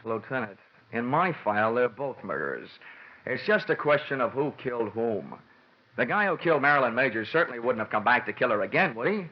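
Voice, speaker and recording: A man's voice: medium pitch, sing-song, a little fast. A man playing a wry, world-weary insurance investigator in a classic radio detective drama, with dry, slangy delivery. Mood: dry, assured. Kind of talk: classic radio detective drama acting, hard-boiled narration. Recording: muffled old radio recording with music.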